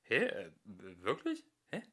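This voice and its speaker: A man voicing a brash, slippery two-headed male alien who talks loudly and evasively. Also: fairly high pitch, wildly swooping pitch, takes it slowly, pitched above the performer's own voice, heavily acted. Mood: baffled. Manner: loud, blustering, evasive.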